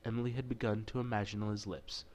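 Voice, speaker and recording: A man's voice: lowish voice, flat delivery, fast, darkish tone. A man hosting a gaming video, reading a visual novel aloud in a casual way and dropping in playful, irreverent asides.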